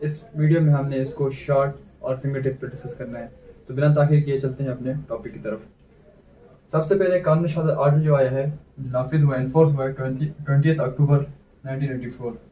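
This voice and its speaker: A man's voice: medium pitch, fairly even intonation, fairly quick, warm tone, slight background noise. A man with a Pakistani accent teaching exam material informally, explaining by posing questions and answering them himself. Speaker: host and law tutor. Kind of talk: informal exam-prep lecture. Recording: dull, echoey, distant microphone.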